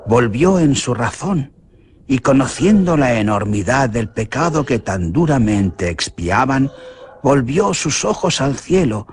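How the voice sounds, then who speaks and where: A man's voice: big pitch swings, unhurried, a little mellow. A man narrating a radio horror drama in a theatrical, dramatic way. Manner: theatrical, with big swings in pitch. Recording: noisy radio drama recording.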